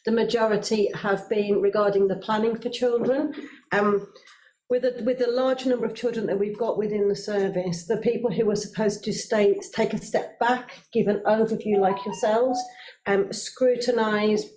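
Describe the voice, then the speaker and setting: A woman explains children's services matters to a scrutiny committee in a steady, measured way, pausing with ums as she goes. She speaks loudly without a working microphone in a reverberant council chamber, with a faint hiss behind her. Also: mid-range voice. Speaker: children's services senior manager. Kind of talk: council committee meeting answers. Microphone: microphone not working, speaking loudly. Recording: roomy meeting room, faint noise.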